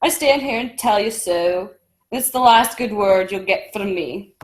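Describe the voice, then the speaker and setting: A woman's voice: mid-range voice, a little slow, natural voice. A woman in a radio play acting a stern, defiant male ship's captain with a formal, emphatic manner.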